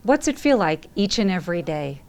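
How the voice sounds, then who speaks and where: A woman interviewer asking direct, brisk questions. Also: on the low side, big pitch swings, darkish tone.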